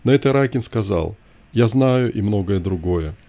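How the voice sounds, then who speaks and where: A man narrating a scripted first-person memoir in short, measured, declarative sentences. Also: fairly low pitch, lively intonation, creaky, mellow.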